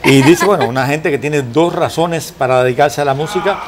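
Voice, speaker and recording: A man's voice: fairly high pitch, fairly quick, over a noisy crowd. An older man hosting a TV interview in a studio on a lapel mic, with a joking, irreverent manner. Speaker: talk show host. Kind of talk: humorous TV interview banter. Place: indoor TV studio at a desk.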